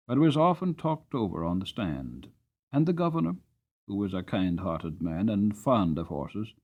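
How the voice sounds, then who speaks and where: A man's voice: on the low side, phone-quality sound. A man reading a classic novel aloud as an audiobook narrator, in a dramatic storytelling style.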